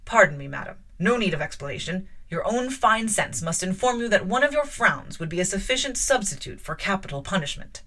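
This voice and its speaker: Female narrator as an imperious middle-aged gentleman; firm, probing, commanding. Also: medium pitch, sing-song, brisk, hardly any pauses. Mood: mock gallant. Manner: imperious, probing, louder than her narration.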